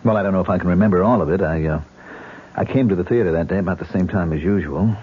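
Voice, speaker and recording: A man's voice: sits low, fast talker, breathy edge. An actor playing a troubled male stage actor, guarded and defensive, then anguished and bitter, in a 1970s radio drama. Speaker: patient. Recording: tinny lo-fi radio broadcast with faint hiss.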